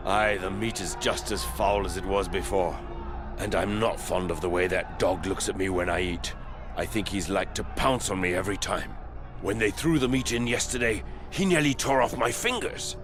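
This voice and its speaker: An actor playing a wary, battle-worn soldier held prisoner in a fantasy video game, speaking in a sober, guarded, plain-spoken way. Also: male voice, sits low, normal speed, music in the background, lightly acted. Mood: uneasy. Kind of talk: dramatic video game voice acting.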